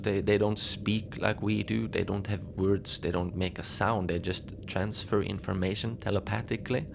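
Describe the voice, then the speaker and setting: Gravelly, low-voiced lone night-shift station manager, played by a man. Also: background noise. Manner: halting, rambling, understated, apologetic. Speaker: podcast fiction narrator, lone facility manager on radio. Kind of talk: cozy audio drama monologue, casual and confiding.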